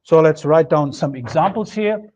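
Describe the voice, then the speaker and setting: Middle-aged guy lecturing, fairly high voice, slow and explanatory, dull lo-fi classroom sound. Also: lively intonation, darkish tone. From Germany. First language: German. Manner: measured, explanatory, writes on a board while talking. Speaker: linguistics lecturer. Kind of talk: recorded university lecture. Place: classroom with a projection screen.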